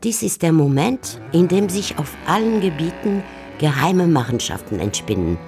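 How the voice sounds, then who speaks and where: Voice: mid-range voice, very animated, moderate pace, over music. A narrator telling a literary story in a scripted radio drama.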